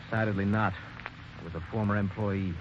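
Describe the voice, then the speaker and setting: A man's voice: fairly low pitch, steady tone, brisk, close to an everyday voice. Stammering murder suspect, played by a man, 1940s radio, hissy dull recording. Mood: nervous. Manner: stammering and jittery. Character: nervous, defensive male former employee. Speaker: actor.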